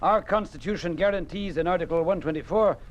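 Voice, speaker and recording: An actor in a 1950s radio drama playing a genial, talkative Communist party secretary with a smooth, persuasive manner. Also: male voice, sits high, brisk, natural voice. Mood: jovial, expansive. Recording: muffled, noisy old 1950s radio recording.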